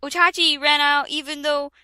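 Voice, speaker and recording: A woman's voice: high-pitched, flat delivery, moderate pace, pushed and bright, no echo, clean studio sound. A young woman doing a comedic dramatic reading, giggling and breaking off for asides. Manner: giggly, breaks into laughter mid-sentence; mock-dramatic, interrupts herself with asides. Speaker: reader of a parody fanfic.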